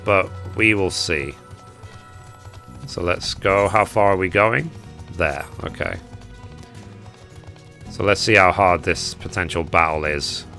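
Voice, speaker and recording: Hoarse guy chatting thru a game guide, low voice, bright edge, quiet game music. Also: fairly even intonation, slow, pauses often. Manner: casual, chatty. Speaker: gaming guide host. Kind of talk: casual gameplay commentary.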